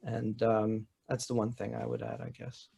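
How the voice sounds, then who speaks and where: A man's voice: on the low side, monotone, moderate pace, gravelly, warm tone, breathy edge. A man speaking conversationally as a panelist, explaining like a teacher and restating his points for emphasis. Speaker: panelist, college writing teacher.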